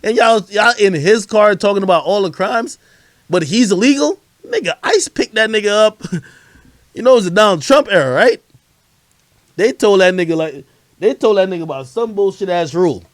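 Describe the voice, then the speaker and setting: A man hosting a casual livestream about hip hop, with a fairly high voice that swings widely in pitch. He talks in loose slang, swearing freely as he spins out stories and opinions. The sound is dry, with hardly any room in it. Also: lively intonation, moderate pace, dry recording. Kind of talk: casual livestream chat, storytelling.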